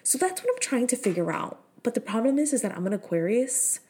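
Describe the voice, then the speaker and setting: A woman's voice: fairly high pitch, expressive, a little fast, warm tone. A woman, hosting her own podcast in frank, profane, filler-heavy casual chat. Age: 30s. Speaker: podcast host.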